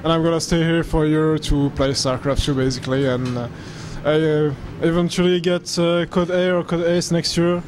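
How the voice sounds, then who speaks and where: A man's voice: fairly high pitch, flat delivery, background noise. A young man, a guest gamer, speaking hesitantly into a handheld mic. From France. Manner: hesitant, halting.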